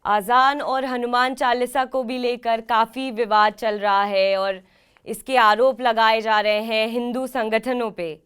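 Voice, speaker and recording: A woman's voice: a little high, steady tone, a little slow, a bit bright, smooth. A woman with an Indian accent putting questions as a television news interviewer.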